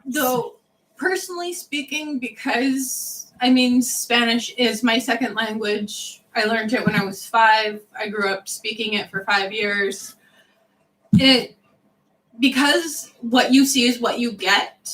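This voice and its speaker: A woman's voice: fairly high pitch, slow pace, fairly bright tone, low-quality recording. A woman co-host in casual chat, reading out viewer questions and chiming in with short remarks.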